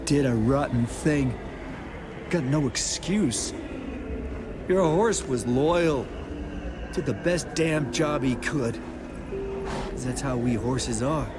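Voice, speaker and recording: An actor voicing a blunt, folksy, forgiving horse in a video game. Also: male voice, mid-range voice, takes it slowly, long pauses, over music, natural voice. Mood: earnest, forgiving. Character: plain-spoken, forgiving horse given a voice.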